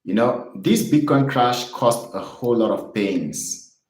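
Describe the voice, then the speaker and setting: Chatty Cameroonian trader in an echoey room. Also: male voice, medium pitch, expressive, measured pace, a little hiss or hum. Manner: upbeat, repetitive, chatty with viewers. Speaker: trading streamer. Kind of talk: live trading stream, chart lesson.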